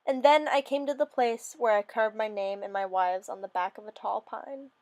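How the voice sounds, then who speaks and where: A woman giving a theatrical, expressive dramatic reading of a first-person fairy story, as a storyteller. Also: medium pitch, normal speed.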